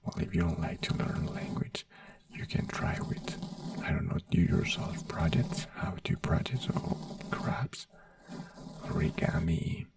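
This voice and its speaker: A man in an ASMR doctor roleplay, gently whispering health advice in halting, non-native English. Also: low voice, wildly swooping pitch, gravelly, distant mic. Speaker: ASMR creator playing a doctor.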